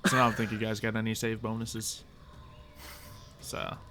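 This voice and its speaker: A man's voice: fairly low pitch, completely flat delivery, moderate pace, background noise. A man acting as game master, narrating a tabletop roleplaying game in a casual, informal, jokey way.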